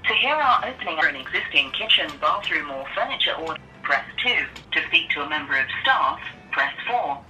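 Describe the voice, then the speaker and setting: A woman's voice: sits low, animated, fairly quick, hardly any pauses, sharp tone. A woman reading a scripted automated menu announcement over a phone line. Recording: speakerphone, distant, faint music.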